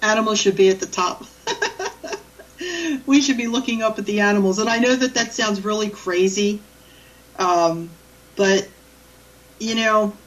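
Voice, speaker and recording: A woman's voice: mid-range voice, unhurried, pauses often. Chatty woman, sounding far from the mic. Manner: chatty, rambling, frequent stammered restarts. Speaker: animal communicator guest. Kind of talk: storytelling in a radio interview.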